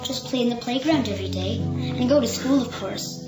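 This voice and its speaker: An actor in a radio drama playing an eerily calm little boy who speaks with the knowing, deliberate manner of a grown man. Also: mid-range voice, close to an everyday voice. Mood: persuasive, cryptic. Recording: roomy echo under prominent music.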